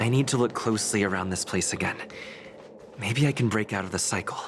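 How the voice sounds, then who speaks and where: A man's voice: fairly low pitch, brisk, noisy background, natural voice. A man playing an earnest young adventurer in a video game, talking to himself in a wondering, searching way. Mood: puzzled. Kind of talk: video game protagonist dialogue. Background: music.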